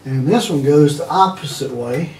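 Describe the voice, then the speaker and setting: A man's voice: a little high, normal speed. An older man, around his sixties, thinking aloud in short fragments during a casual hands-on demonstration. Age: older, around 60s. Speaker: hobbyist demonstrator testing old electronics. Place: indoor room at home, seated at a wooden table. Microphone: camera mic a few feet away. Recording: roomy, distant mic, noisy with handling clicks.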